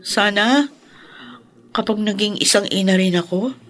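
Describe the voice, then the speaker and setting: A woman's voice: medium pitch, measured pace, close to an everyday voice. Woman acting a sweet, admiring young Filipina. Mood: admiring, earnest. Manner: affectionate, encouraging. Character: sweet, admiring young girlfriend, polite and cheerful. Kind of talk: Filipino radio drama acting.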